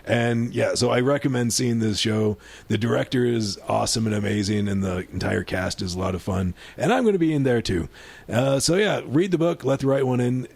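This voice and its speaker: A low-pitched man with a gravelly, husky voice chats casually on a comedy podcast. He rambles, restarts and swears freely while talking up his upcoming work. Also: darkish tone. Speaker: comedian guest.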